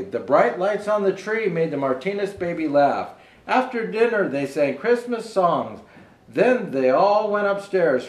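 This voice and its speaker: A man reading a children's storybook aloud as Santa, reading haltingly and pausing for asides to show the pictures. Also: high voice, echoey room, low-quality recording. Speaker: storyteller presenting as Santa Claus.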